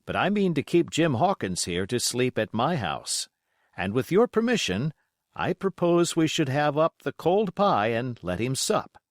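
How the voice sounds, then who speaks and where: Storybook doctor, voiced by a male narrator: genial, composed gentleman, measured and pointed, with animated intonation. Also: mid-range voice, dry recording, clean studio sound, pitched above the performer's own voice. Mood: composed, firm.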